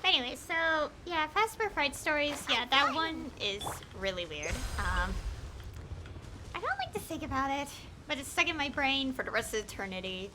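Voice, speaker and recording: A woman's voice: high voice, big pitch swings, normal speed, noisy background. A young woman chatting casually as she streams a game, rambling and hesitantly thinking aloud. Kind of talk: casual live gameplay chat. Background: game music.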